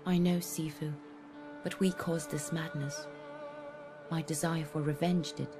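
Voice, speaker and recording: Low-voiced, vengeful young female assassin. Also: steady tone, music in the background. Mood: somber. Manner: measured, solemn, intense. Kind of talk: dramatic game cutscene dialogue and narration.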